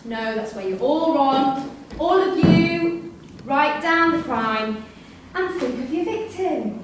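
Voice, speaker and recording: A woman's voice: high-pitched, expressive, slow pace. A woman playing a firm, exasperated prison writing-class teacher in a staged drama reading. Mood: firm, instructing. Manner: instructing, insistent, schoolteacherly. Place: live theatre reading in a pub venue. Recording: echoey hall, distant mic, noisy.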